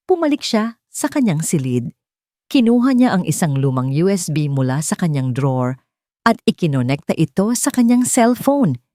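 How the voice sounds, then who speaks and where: A woman's voice: on the low side, dark tone, no echo, clean studio sound. A woman narrating a scripted dramatic story in a suspenseful way, with short emphatic phrases.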